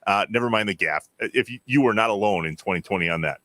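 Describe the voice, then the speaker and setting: A man's voice: on the low side, quick pace, pushed and bright, no echo. An American man hosting an interview in a casual, conversational way. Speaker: show host.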